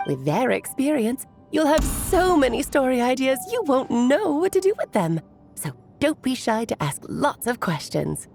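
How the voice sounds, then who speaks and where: Lively, high-voiced playful mage. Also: female voice, normal speed, vocal fry, soft background music, full character voice. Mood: playful, teasing. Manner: theatrical, teasing, genteel. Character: playful, theatrical, cryptic adult woman mage, affectionate aunt. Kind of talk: video game character voice acting.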